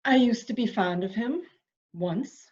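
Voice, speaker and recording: A woman's voice: a little high, measured pace, darkish tone, lightly acted. A woman playing a fretful, intense, protective member of a household in a melodramatic mystery reading, in slightly dull sound. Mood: wistful. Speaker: amateur reader, library staff. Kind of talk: dramatic reading of a murder mystery.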